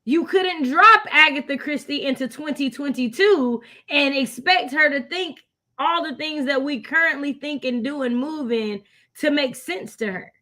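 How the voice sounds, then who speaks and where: A woman's voice: sits high, unhurried, bright, forceful tone. A woman in a casual book discussion, speaking in an opinionated, emphatic way and repeating points for emphasis. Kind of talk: casual live discussion of classic books.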